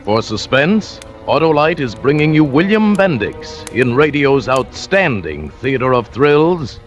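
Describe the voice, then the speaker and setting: Old time radio announcer guy, slow n dramatic, big pitch swings, music behind. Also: big pitch swings.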